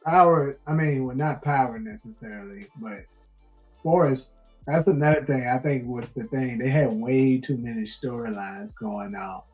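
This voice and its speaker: A man co-hosting a casual TV show discussion, relaxed and quick to laugh, reading out viewer comments. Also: medium pitch, rough recording. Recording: faint music behind.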